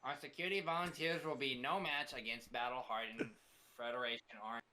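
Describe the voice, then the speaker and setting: A man's voice: medium pitch, fairly even intonation, fairly quick, pushed and bright, poor audio. A man doing an amateur dramatic reading of script lines, stumbling and breaking out of character. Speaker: amateur reader of a film script part.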